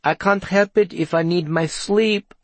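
Man performing a female talking cat, storybook character, higher pitched, drowsy, self-important, nonchalant. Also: steady tone, measured pace, dry recording.

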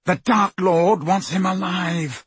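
A man's voice: medium pitch, animated, unhurried, fairly bright tone, breathy edge, no echo. A man voicing Draco Malfoy, an arrogant teenage boy wizard with a cold, clipped, superior manner, in an audiobook reading. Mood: impatient.

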